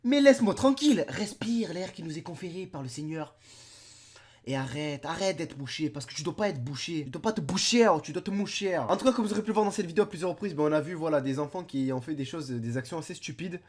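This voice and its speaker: A man's voice: a little high, quick pace. Stuffy-nosed young man joking around. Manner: rambling, playful slangy absurd jokes. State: stuffy nose from a cold. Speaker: video commentator. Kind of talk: comic reaction commentary.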